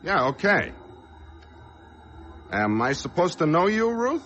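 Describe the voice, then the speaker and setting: An actor playing a nervous, evasive truck driver in an old-time radio drama, pleading in shifty, slangy talk. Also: male voice, high voice, very animated, takes it slowly, fairly bright tone, dull audio, faint music behind, heavily acted. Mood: evasive, uneasy. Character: nervous, evasive truck driver cornered by a gun, narrating his tale.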